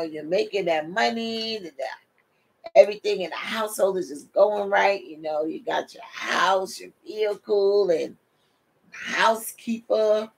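A woman's voice: on the low side, gravelly, slightly rough, rough recording. A middle-aged woman from New York with a New York accent and Caribbean touches, giving a sermon-style testimony from a home office over a webcam, speaking animatedly and calling listeners "people". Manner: animated, addresses listeners as "people", gestures with hands. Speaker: preacher and show host. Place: home office, seated in desk chair.

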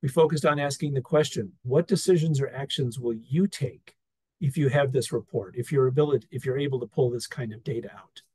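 Husky-voiced man giving a relaxed slide talk. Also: mid-range voice, fairly quick, dry recording. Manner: relaxed and wry, with frequent fillers and restarts. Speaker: presenter sharing an experience report. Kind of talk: conversational talk over slides. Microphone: video call.

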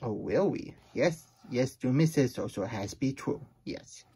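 A man's voice: mid-range voice, expressive, measured pace, mellow, husky, exaggerated voice. A man voicing a silly, childlike plush hedgehog who reads storybooks aloud in babyish broken grammar and gets easily flustered. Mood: playful, suspicious. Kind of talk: playful storybook read-aloud.

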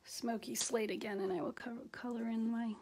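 High, creaky-voiced woman giving craft tutorial. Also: fairly even intonation, a little mellow. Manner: unhurried, halting, talking while working with her hands. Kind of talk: casual step-by-step craft demonstration. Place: indoors at a craft table. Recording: noisy home recording with clicks.

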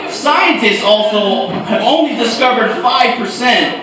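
High-voiced man from Hawaii doing stand-up. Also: animated, noisy background. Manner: loose, crude storytelling with quick asides to the crowd. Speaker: stand-up comedian. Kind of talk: stand-up comedy set. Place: stage of an indoor comedy club. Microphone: handheld mic, recorded by a camera far back in the room. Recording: echoey club audio from far off, loud crowd.

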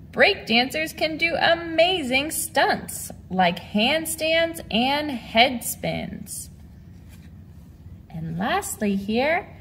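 A woman in her thirties teaching a dance lesson to children, with an animated, encouraging, clearly enunciated delivery. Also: sits high, slight background noise. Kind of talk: instructional video lesson for children. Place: large mirrored dance studio indoors.

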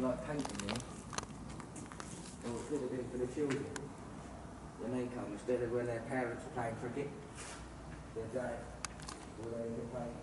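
Quiet man heard from far off. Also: medium pitch. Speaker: fellow urban explorer. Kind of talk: casual exploring commentary. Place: dark abandoned building, indoors. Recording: echoey, distant, noisy handheld audio.

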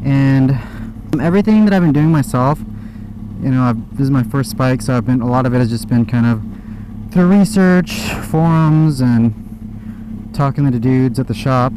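A man's voice: medium pitch, moderate pace. A young American man chatting casually and in a laid-back, slangy way as he vlogs from inside a motorcycle helmet. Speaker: motorcycle vlogger. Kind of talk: casual vlog chat while riding. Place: outdoors, in a motorcycle helmet on the road. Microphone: microphone inside helmet. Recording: helmet mic with engine and street noise. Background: motorcycle engine and traffic.

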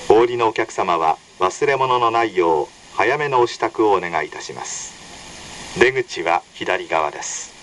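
A man reading a scripted, formal and measured station announcement as a recorded train announcer. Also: on the low side, pushed and bright, rough recording. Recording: recorded over train speakers with faint noise. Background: train cabin hum.

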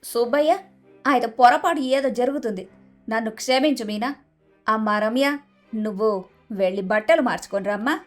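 A haughty, domineering mother-in-law from a cartoon moral tale, acted by a woman. She is middle-aged and sharp-tongued, scolding and scheming in a fairly high voice. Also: moderate pace, long pauses, soft background music, natural voice. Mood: nervous, placating. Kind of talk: animated moral story dialogue.

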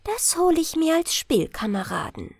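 A woman's voice: high-pitched, sing-song, normal speed, vocal fry, a little mellow, dry recording, studio quality, voice pushed up, heavily acted. A woman voicing a coaxing, bargaining, slightly testy female water nixie in a fairy-tale audiobook. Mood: persuasive. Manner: persuasive, wheedling.

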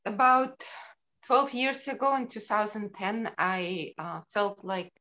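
A middle-aged woman from Ukraine walks through slides of her work on a video call. She speaks slowly, pausing often with ums and uhs. Her voice sits at an ordinary pitch. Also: steady tone, sharp tone. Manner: unhurried, halting with frequent ums. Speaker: sculptor presenting her work.

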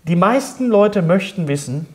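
Higher-pitched middle-aged man hosting a talk. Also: animated, moderate pace. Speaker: talk show host. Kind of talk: casual interview chat, reading out fan questions. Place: indoor studio set at a table.

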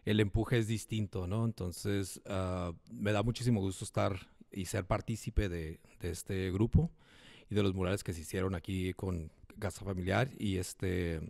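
Low-voiced Tijuana man, close and dry. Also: steady tone, moderate pace, creaky, a little mellow, no room sound. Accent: northern Mexican, Tijuana. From Tijuana, Mexico. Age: middle-aged, around 50. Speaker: graffiti artist and muralist. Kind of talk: conversational personal introduction. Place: indoor room, seated panel. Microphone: handheld microphone held close.

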